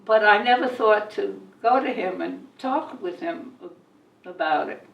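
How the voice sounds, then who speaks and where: An older woman reminisces about her own life as an interviewee, reflective and often halting. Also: mid-range voice, vocal fry, dull audio. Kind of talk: reminiscing, personal storytelling. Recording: echoey room, distant mic.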